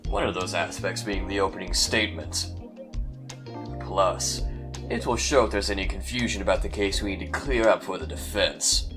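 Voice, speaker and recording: A man's voice: sits low, normal speed, over music, lightly acted. A man voicing a cold, menacing male prosecutor in a fan audio drama, speaking in clipped threats. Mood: measured. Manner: clipped, menacing, calls others "little lamb".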